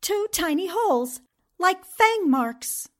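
Woman acting a high-voiced, skeptical lady reporter. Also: expressive, fairly bright tone, full character voice. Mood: alarmed. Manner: brisk-witted, no-nonsense. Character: sharp-witted, skeptical female newspaper reporter. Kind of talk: 1940s radio drama acting.

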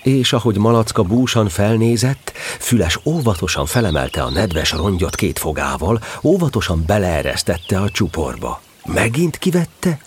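A man's voice: lowish voice, big pitch swings, slight background noise. A man narrating a scripted children's story as part of an audio drama.

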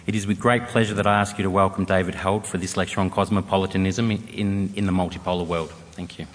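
A man with a very low, deep voice delivers a formal, read-from-notes introduction for an evening lecture. His speech rings with the long echo of a large lecture hall. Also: steady tone, fast talker, noisy background. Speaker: event chair introducing the speaker.

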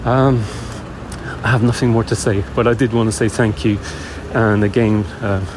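A middle-aged man leaving a casual, halting voice message as a podcast caller, out in a city. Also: lowish voice, fairly even intonation. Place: outdoors in a city, on the way to work. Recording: loud street and traffic noise. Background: vehicles and urban noise.

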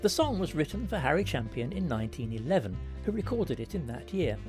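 A man's voice: on the high side, big pitch swings, a little fast, darkish tone, faint music behind. A man presenting a scripted quiz segment in a wry, radio-style manner.

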